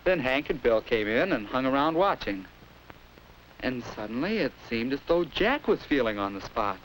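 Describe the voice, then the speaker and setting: A hissy 1950s film soundtrack carries a young American schoolboy narrating his own troubles. His voice is earnest and confiding, with lively swings in pitch. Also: moderate pace, rough recording. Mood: reflective. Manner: earnest, confiding first-person storytelling.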